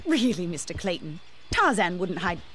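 A woman's voice: fairly low pitch, very animated, normal speed, a bit bright, a little hiss or hum. A woman playing a proper, spirited young lady in a video game, prim and emphatic. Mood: concerned. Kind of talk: video game cutscene acting.

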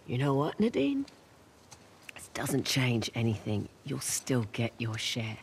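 A woman's voice: extremely low pitch, big pitch swings, unhurried, exaggerated voice. An actress playing a wry, guarded woman treasure hunter in an action-adventure game, with a casual, blunt way of talking. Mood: weary, irritated. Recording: rain and ambient noise behind. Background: rain and game ambience.

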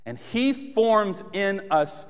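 Man, 30s, pastor, high voice, passionate sermon, handheld mic, long reverb. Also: expressive, slow pace, clear, resonant tone, soft background music. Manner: passionate, builds to emphatic exhortation. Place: large church stage.

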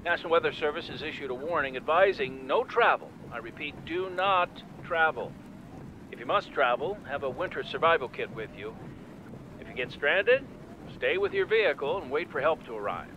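A man acts as a sober radio announcer delivering an emphatic, formal bulletin. His slightly high voice sounds dull and lo-fi, as through a car radio, with loud road noise around it. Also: animated, moderate pace, natural voice. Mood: grave warning. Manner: serious, emphatic. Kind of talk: formal emergency news bulletin in radio drama.

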